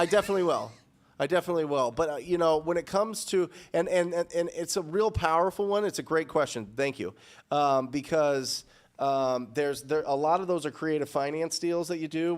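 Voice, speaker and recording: Male host, 30s, energetic live Q&A, studio boom mic, upbeat delivery, frequent restarts. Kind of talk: live show Q&A. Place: studio with purple backdrop.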